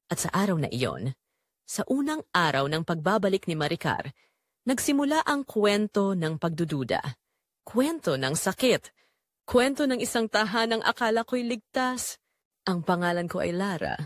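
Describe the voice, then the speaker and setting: Female Filipino narrator for first-person audio drama; lively, varied intonation, very dry close sound. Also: mid-range voice, normal speed, no room sound.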